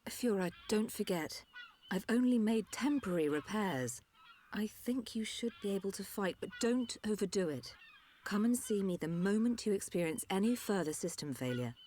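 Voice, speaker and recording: An actress playing a woman engineer who speaks in a measured, technical and frank way. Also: mid-range voice, expressive, a little mellow, slight background noise, natural voice. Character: careful woman engineer-doctor, candid.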